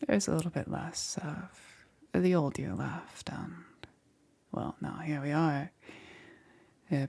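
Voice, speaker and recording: Casting: a woman plays soft-spoken witch necromancer for ASMR roleplay; gravelly fry, husky half-whisper, calm and eerily gentle. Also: sits low, heavily acted. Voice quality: breathy, often dropping into whisper. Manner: unhurried, halting with pauses, soothing.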